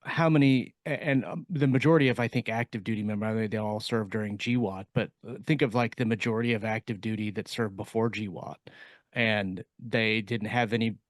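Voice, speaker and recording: Hesitant man, close dry sound, lower voice. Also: steady tone, dry recording. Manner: hesitant, halting, circles around his point. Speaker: podcast co-host. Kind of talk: casual panel discussion.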